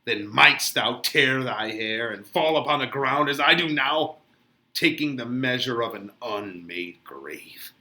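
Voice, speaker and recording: A man's voice: mid-range voice, expressive, a little slow, background noise, voice pushed down, lightly acted. A man playing a lovesick, despairing young lover, declaiming Shakespeare with tearful, melodramatic anguish. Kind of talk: dramatic Shakespeare reading.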